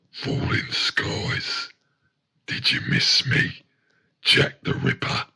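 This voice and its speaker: A man voicing a brooding, menacing serial killer in a dark spoken-word monologue, breathy and half-whispered, in slow fragments. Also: medium pitch, full character voice.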